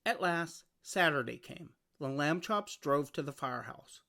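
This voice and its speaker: Creaky-voiced reader of a children's storybook. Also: medium pitch, animated, a little slow, pushed and bright. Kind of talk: scripted children's story narration, read aloud.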